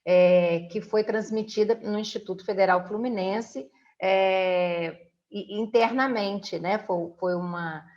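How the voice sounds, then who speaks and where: A middle-aged woman from the of Rio de hosts a conversational interview from home, often halting to search for words. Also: mid-range voice, steady tone, a little mellow, highs cut off. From Brazil. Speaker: interviewer and host. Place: indoors at home.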